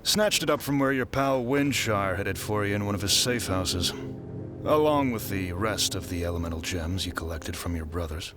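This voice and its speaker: Male actor as smug crime villain, low-pitched, menacing, taunting, animated intonation, audio drama. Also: natural voice. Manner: taunting, deliberate. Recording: music behind. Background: dramatic music.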